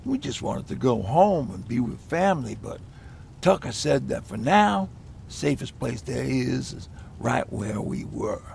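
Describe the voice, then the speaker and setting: A man playing a weary, plain-spoken war survivor with a gravelly voice in a video game. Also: medium pitch, sing-song, unhurried, a little mellow, a little hiss or hum, full character voice. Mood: somber. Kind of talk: video game dialogue acting.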